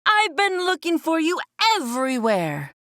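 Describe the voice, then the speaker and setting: A woman acts out a stern, fussy male crab for a children's storybook. He scolds in exasperation, with a fairly high and animated voice. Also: measured pace, no room sound, clean studio sound, heavily acted. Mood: scolding.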